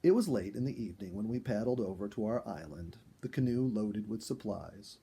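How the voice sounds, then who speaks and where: Husky, mellow man telling a story. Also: lowish voice, fairly quick. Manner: expressive, acts out dialogue. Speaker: storyteller, reader. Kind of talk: animated audiobook-style narration of a first-person animal story.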